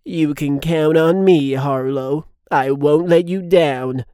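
A man playing an earnest, literal-minded radio sidekick in a formal, deadpan way for a children's audio drama. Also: a little high, slow pace, clean tone, no room sound, studio quality. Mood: earnest, polite.

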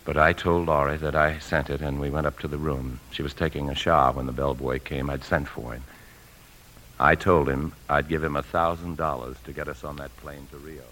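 Deep-voiced, evasive 1950s radio husband. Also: male voice, fairly even intonation, a little fast, a bit bright, a little hiss or hum, low-quality recording. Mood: confessional narration. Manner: smooth-talking, placating, guarded. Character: evasive anxious husband on the run, confessional narrator. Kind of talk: 1950s radio drama acting and first-person narration. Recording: 1950s radio broadcast, faint music.

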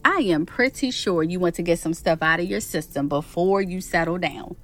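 Casting: female actor as devoted girlfriend, thirties, lowish voice, frank, determined, light music bed. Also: moderate pace, natural voice. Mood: earnest. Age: 30s. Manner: frank, earnest. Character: devoted thirty-five-year-old girlfriend, principled and determined. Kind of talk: scripted audio drama acting. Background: background music.

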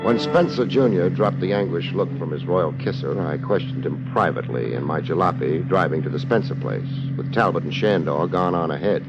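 Low-voiced wisecracking radio private eye. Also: male voice, brisk, few pauses. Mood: wry narrating. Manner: wry, slangy, hard-boiled, laid-back. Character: laid-back, wisecracking tough private detective, grown man. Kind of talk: 1950s radio detective drama acting with narration. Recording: dull old 1950s radio recording, music behind.

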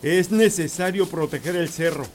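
A man's voice: high voice, moderate pace, slight background noise, lightly acted. A man playing a solemn village priest. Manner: solemn, prayerful, rising to fervent chanting. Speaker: priest performing an exorcism. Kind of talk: radio drama acting.

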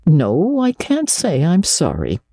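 A stern older woman acted by a storybook reader speaks in a low, mellow register. She is brisk, practical and dryly sarcastic, with no patience for nonsense. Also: wildly swooping pitch, no room sound, clean studio sound, full character voice. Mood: grudgingly fond. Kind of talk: dramatic audiobook reading of classic children's literature.

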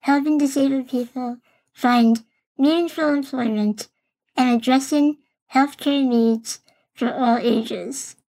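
A woman's voice: high voice, slow pace, gravelly, dark tone, rough recording. A woman, speaking as a podcast host. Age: late 30s.

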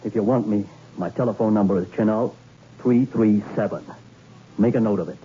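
Low-voiced jittery hard-boiled investigator. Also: male voice, husky. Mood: probing, stern. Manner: terse, probing, halting. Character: dogged, jittery hard-boiled male investigator named Logan. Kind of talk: 1960s radio thriller acting. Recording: dull, hissy old radio recording.

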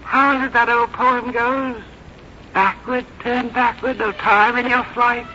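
An actress playing a plain-spoken old country mother, folksy, wry and quietly determined, in 1950s radio drama style. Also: a little high, steady tone, measured pace. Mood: pleased, reminiscing. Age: older. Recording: dull, noisy 1950s radio recording.

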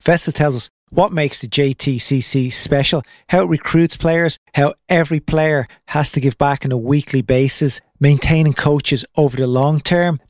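A man's voice: mid-range voice, no room sound, clean studio sound. A man hosting a tennis podcast, delivering an upbeat, chatty intro and sponsor reads before interviewing his guest. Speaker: podcast host.

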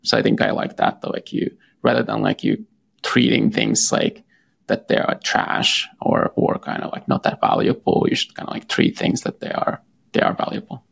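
A man's voice: on the low side, wildly swooping pitch, moderate pace, gravelly, husky. A Finnish-born man with a Finnish accent, a startup founder answering interview questions casually, hesitant and full of fillers and restarts. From Finland.

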